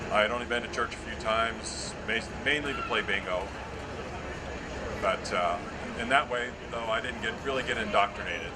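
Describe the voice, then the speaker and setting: Loud low-voiced man, heavy traffic. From Massachusetts. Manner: loud, casual, chatty. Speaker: festival speaker. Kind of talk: outdoor public speech. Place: outdoors by a street. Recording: outdoor speech with loud traffic.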